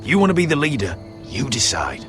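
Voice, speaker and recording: An actor playing a terse, serious, determined mercenary in a fantasy video game. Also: male voice, medium pitch, wildly swooping pitch, brisk. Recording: music behind the voice.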